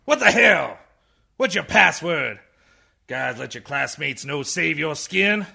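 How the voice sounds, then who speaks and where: A raspy, ranting old man in a radio comedy, creaky and piercingly sharp. His delivery swings wildly from muttering to shouted outrage, tired yet indignant. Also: a little high, expressive, slow, heavily acted. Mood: blustering outrage. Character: blind, tired old man, blustering indignant orator defending a boy. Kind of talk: radio comedy play parodying a film speech.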